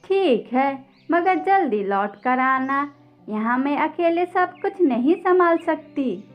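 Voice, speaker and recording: A woman's voice: high voice, expressive, slow, dull audio, faint music behind. A woman voicing an earnest, plaintive female bird shopkeeper in a children's cartoon story. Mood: matter-of-fact.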